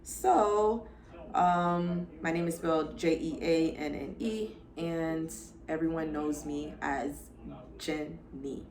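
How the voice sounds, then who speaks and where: Chatty young woman from Florida, low voice. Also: steady tone, slow pace, a little mellow. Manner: chatty, upbeat, addresses viewers as y'all and girl. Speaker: lifestyle vlogger. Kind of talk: casual to-camera introduction.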